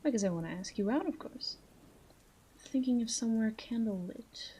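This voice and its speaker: A woman's voice: on the high side, expressive, mellow. A woman playing a flirty, self-assured female mafia boss in a roleplay audio drama, coy and teasing as she speaks straight to the listener. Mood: coy flirtation. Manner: coy, teasing, drawn-out phrasing with breathy asides.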